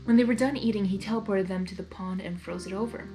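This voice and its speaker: A woman's voice: medium pitch, fairly even intonation, fast, music in the background, rough recording. A woman narrating a story expressively, in the manner of an amateur reader who reacts as she goes. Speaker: fan fiction reader.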